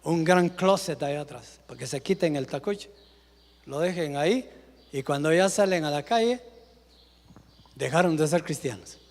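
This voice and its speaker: High-voiced pastor preaching in a hall. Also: male voice, expressive, normal speed, pauses often. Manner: dramatic preaching, swinging from loud to hushed, acting out scenes. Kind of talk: sermon. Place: church. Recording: live church sermon with long reverb.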